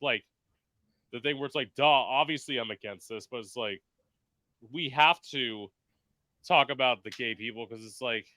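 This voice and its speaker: Bright, chatty male podcast host. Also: mid-range voice, normal speed, pauses often, no echo. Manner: chatty, emphatic, full of "like" fillers; performs in drag. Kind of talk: casual podcast chat.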